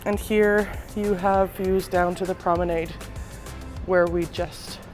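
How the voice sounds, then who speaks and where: A woman with a husky voice from a slightly sore throat, giving a relaxed walking tour of a cruise ship with frequent ums. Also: on the low side, slow, soft background music. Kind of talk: casual walking tour narration. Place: indoors, moving through a cruise ship.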